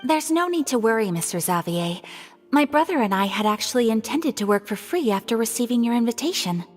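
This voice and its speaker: A woman's voice: on the high side, brisk, in a large hall. A woman voicing a gracious, curious young noblewoman in a video game, speaking in a polite, refined way. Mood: reassuring, earnest. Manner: polite, refined, gently expressive. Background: music.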